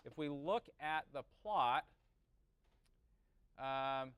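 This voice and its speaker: A man's voice: medium pitch, expressive, drawn-out words, fairly bright tone, smooth, dry recording. A man giving an informal technical lesson, thinking aloud and checking in with short questions. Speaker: teacher of audio engineering. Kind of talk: informal classroom lesson, working through a calculation aloud.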